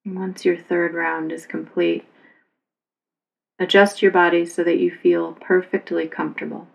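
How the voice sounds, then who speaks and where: A woman leads a guided relaxation as a yoga instructor. Her voice sits on the low side with plenty of vocal fry, and her delivery is calm, unhurried and very even in pitch. She gives soothing, simple instructions. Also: poor audio.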